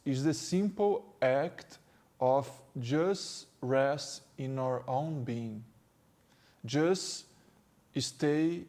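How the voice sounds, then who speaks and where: A man from Brazil with a Brazilian Portuguese accent, speaking English as a second language and giving a calm spiritual talk as a teacher, slow and gentle with pauses. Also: mid-range voice. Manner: slow, gentle, with pauses and non-native phrasing. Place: sitting indoors.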